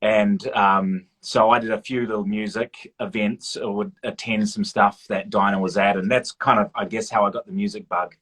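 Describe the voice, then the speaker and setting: A man with a slightly low voice talks as a guest in a casual interview. He is modest and informal, hesitating as he works out what to say. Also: flat delivery, normal speed, few pauses, a bit bright, husky. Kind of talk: casual chat.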